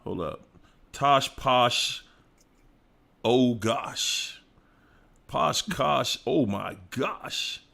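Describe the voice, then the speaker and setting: Slow-talking playful man hosting a livestream. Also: medium pitch, expressive, creaky, pushed and bright. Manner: playful, hypes up chat, sings snippets and laughs. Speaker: livestream host. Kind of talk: casual livestream chat greeting viewers.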